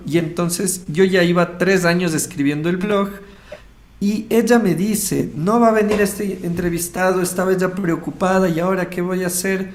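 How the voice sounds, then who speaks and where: A man with an Ecuadorian accent, casually telling a personal story as an interview guest, acting out bits of dialogue as he goes. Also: sits high. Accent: Ecuadorian Spanish. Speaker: radio host interviewed as guest.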